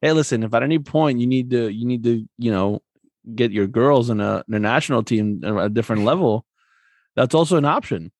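A man's voice: medium pitch, brisk, no echo. Chatty laughing man, dry close sound. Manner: relaxed, chatty, laughs often, many fillers. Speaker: podcast co-host. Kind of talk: casual sports chat.

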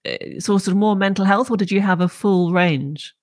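A woman's voice: medium pitch, moderate pace, dry recording, clean studio sound. A woman hosting a podcast interview, asking encouraging questions. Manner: friendly, encouraging prompts. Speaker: podcast host.

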